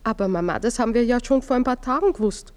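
Actress doing a young genteel wife, kinda high voice, earnest and proper. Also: female voice, fast, darkish tone, natural voice. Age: young adult. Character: young married daughter, poised and genteel. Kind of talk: classic radio play acting.

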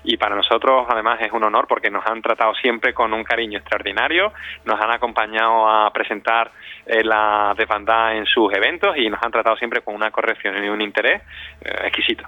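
A man's voice: on the low side, fairly even intonation, dry recording. A man speaks as a radio interview guest. He gropes for words and doubles back on them, in a dry, slightly muffled lo-fi recording. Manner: halting, searching for words, repeats words. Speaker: interview guest, audio fiction director. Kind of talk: spontaneous interview answers.

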